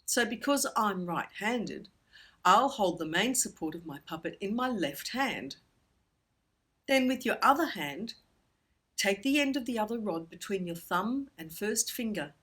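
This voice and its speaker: A woman giving an instructional presentation for children in a playful, expressive manner with emphatic pauses. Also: mid-range voice, breathy edge. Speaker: puppetry workshop instructor.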